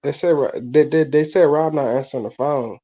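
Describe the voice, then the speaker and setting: A man's voice: a little high, a little fast, mellow, husky. A man hosting a casual live sports stream over a phone, talking in slang and often repeating himself as he stumbles over words. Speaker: host of a live sports fan show. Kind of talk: casual live-stream chat about college football. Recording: dull, muffled phone audio.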